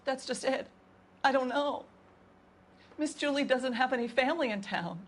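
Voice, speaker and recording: A woman's voice: high-pitched, normal speed. A woman playing a nervous housekeeper questioned by police in a video game, talking hesitantly and stammering. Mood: anxious. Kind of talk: video game interrogation scene.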